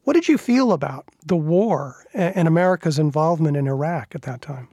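A man hosting a public radio interview, asking questions in a measured, thoughtful way. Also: a little high, lively intonation, dark tone, no echo, clean studio sound. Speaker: radio host.

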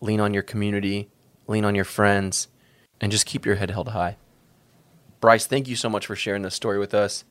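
Man, podcast co-host, low-ish voice, dry studio sound, earnest and encouraging. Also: flat delivery, normal speed, fairly bright tone, no room sound. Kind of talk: podcast hosting.

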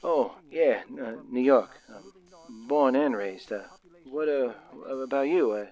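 An actor playing a nervous, excitable young working man of the 1930s, stammering and using folksy slang, in a radio drama. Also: mid-range voice, slow pace, muffled recording, close to an everyday voice. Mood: awkward, hesitant. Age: young adult. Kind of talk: old-time radio drama acting.

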